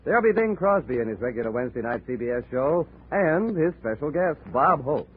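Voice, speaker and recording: Lively, swooping male old-time radio announcer. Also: mid-range voice, fairly quick. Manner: polished, promotional. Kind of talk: 1940s network radio announcing, scripted promotion. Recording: noisy, tinny 1940s radio recording.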